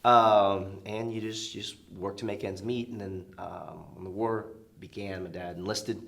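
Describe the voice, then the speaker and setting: Low voiced guy in his 40s, interview guest telling his story, casual and kinda reflective. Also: steady tone, unhurried, gravelly, a bit bright. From southern United States, born in Vietnam.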